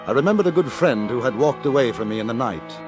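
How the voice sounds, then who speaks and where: Actor as understated ex-cavalry officer narrating, old radio western, music underneath. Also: male voice, medium pitch, lively intonation, quick pace, close to an everyday voice. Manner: dry, understated, polished. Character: reserved English ex-cavalry captain, adult man. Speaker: narrator and lead. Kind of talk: 1950s radio drama acting.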